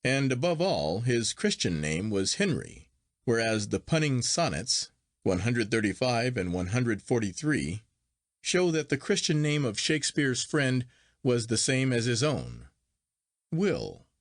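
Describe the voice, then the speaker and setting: Guy narrating an old literary story, formal and measured, pitch moves around a lot, very dry recording. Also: very animated, no room sound. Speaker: audiobook narrator.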